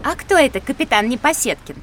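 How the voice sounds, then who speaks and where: A polite little girl who loves asking questions in a children's audio play. The voice is high and lively, with faint noise behind it. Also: fast talker. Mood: polite, eager. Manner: polite, inquisitive.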